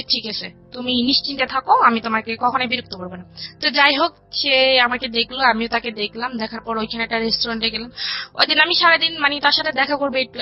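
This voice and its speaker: A Bangladeshi woman with a fairly high voice shares her own story in a conversational way. She retells exchanges line by line, quoting both sides. The sound is slightly muffled and lo-fi, with music playing underneath. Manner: recounts dialogue in detail, quoting both sides. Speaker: guest telling her life story. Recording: dull lo-fi radio audio with music. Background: background music.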